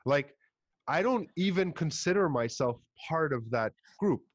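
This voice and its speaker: A young man with a Montreal English accent, hosting a podcast in casual chat, an animated storyteller who swears and puts on voices. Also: a little high, measured pace, no echo, dull audio. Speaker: podcast host.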